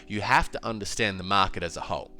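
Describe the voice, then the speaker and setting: Man, a bit nasal and clogged up, chatty and emphatic, low voice. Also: fast, faint music behind. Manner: animated, emphatic, with filler and restarts. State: congested, slightly nasal. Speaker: crypto trading educator. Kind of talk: casual solo video talk.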